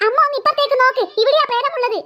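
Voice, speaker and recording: A woman's voice: very high voice, very fast, muffled recording. A woman voicing an easily frightened, insistent young girl who pleads and stammers in a comic horror skit.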